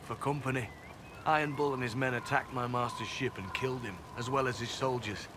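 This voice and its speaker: A male elf spy from a fantasy game, played by a man, speaks in a medium-pitched voice. He is dry and guarded, with a quiet earnestness under the matter-of-fact delivery. Clear ambient noise sits behind him. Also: steady tone, a little fast, lightly acted. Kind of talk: video game cutscene acting. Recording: game capture with loud ambient background noise.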